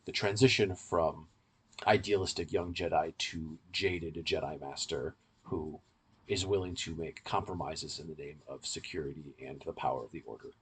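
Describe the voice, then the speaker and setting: A man co-hosting a podcast, giving analytical, explanatory commentary in a conversational, halting way with self-corrections. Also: rumbling low, fairly bright tone, husky. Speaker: podcast co-host and reviewer. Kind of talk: casual analytical podcast discussion.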